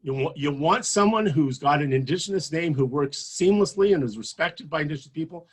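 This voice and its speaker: A man's voice: fairly high pitch, lively intonation, a little fast, slightly rough. A man in his sixties speaking passionately and emphatically as a political candidate at a campaign event. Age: 60s. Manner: passionate, emphatic, rising to forceful peaks.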